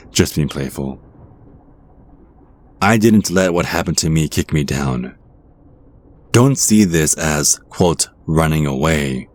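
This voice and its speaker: Casting: male actor as a charming, ageless devil in disguise, low-pitched, playful, teasing and reassuring, for ASMR roleplay. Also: animated, slow pace, long pauses, heavily acted. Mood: warm, encouraging.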